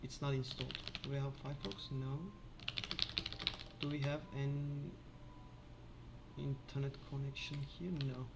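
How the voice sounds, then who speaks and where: A man casually thinking aloud in an office while fixing software, halting with many filler sounds. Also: mid-range voice, monotone, vocal fry, very noisy. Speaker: Linux developer screencasting.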